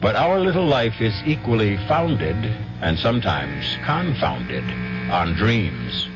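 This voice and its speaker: A man hosting and narrating a 1970s radio mystery with deliberate, ominous theatricality. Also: on the low side, very animated. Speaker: host and narrator. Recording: dull 1970s AM radio broadcast. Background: loud dramatic music.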